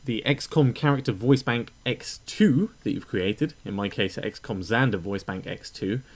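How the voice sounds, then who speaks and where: A man with a fairly low voice presents a software tutorial as a voice-over. He explains things step by step in a methodical, instructional manner while keeping the wording informal. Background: voice-over for a screen recording.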